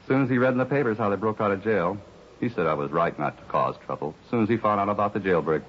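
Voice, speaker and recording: A man's voice: fairly low pitch, fast talker, natural voice. A man playing a hesitant, plain-spoken working man who was held up, folksy and repetitive, in a 1950s radio drama. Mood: earnest. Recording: dull, hissy old radio recording.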